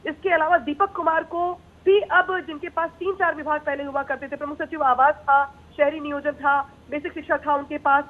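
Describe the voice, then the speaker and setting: A woman news reporter giving a live report in an explanatory, halting way with repeated words. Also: high voice, fairly even intonation. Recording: tinny narrow phone line.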